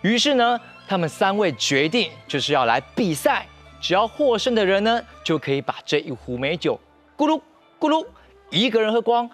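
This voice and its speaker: Young Taiwanese-accented man, kids' TV storyteller; high, very lively intonation. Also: normal speed, faint music behind. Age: young adult. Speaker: children's TV storyteller. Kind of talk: animated children's story narration. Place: TV studio set.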